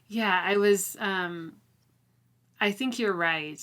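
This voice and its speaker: A woman's voice: mid-range voice, slow pace, fairly bright tone. A woman co-hosting a casual book discussion, informal and somewhat hesitant as she thinks aloud. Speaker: podcast co-host.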